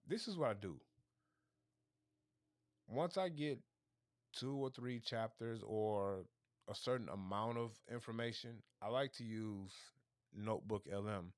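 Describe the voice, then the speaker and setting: A man with a very low, hoarse voice hosts a casual livestream chat, thinking out loud and circling back on his words. The sound is dry and close, with no room around it. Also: dry recording. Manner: rambling, thinking aloud with restarts. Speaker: livestream host.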